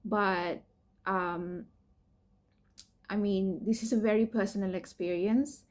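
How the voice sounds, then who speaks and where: Young woman from Sri Lanka, personal vlog, hesitant and candid with fillers, mid-pitched voice. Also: steady tone, slow pace. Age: young adult. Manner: hesitant, many fillers and restarts, soft laughs. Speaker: PhD student sharing her cancer story.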